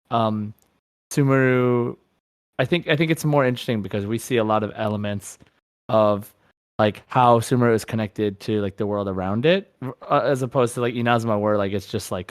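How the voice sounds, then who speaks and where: A man co-hosting a podcast, chatting casually about a video game in a halting, repetitive way as he searches for words. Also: mid-range voice, smooth, no echo. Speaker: podcast co-host.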